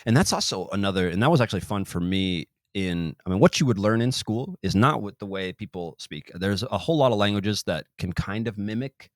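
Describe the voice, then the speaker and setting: Deep-voiced man rambling as podcast host. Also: animated, fairly quick, few pauses, dry recording, studio quality. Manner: rambling, many restarts and trailing-off phrases. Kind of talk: casual interview chat.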